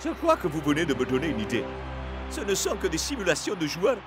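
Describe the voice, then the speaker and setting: Shakes, a young football hero. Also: male voice, fairly high pitch, huge pitch swings, fast, over music. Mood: thoughtful. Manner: upbeat, reassuring. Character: confident young male football star. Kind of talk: French cartoon dub. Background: cartoon score.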